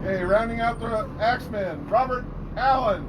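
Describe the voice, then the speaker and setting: A man announcing graduates' names one by one at an outdoor ceremony, with a big, loud announcing voice. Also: extremely high pitch, moderate pace, very noisy. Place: outdoors at a campus.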